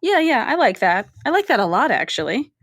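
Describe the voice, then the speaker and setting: A woman chats as a podcast co-host, her pitch moving freely and expressively. She is informal, playful and quick to react, on a close studio mic with a dry sound. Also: very animated, a bit bright, no echo. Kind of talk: casual chat. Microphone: studio microphone, close.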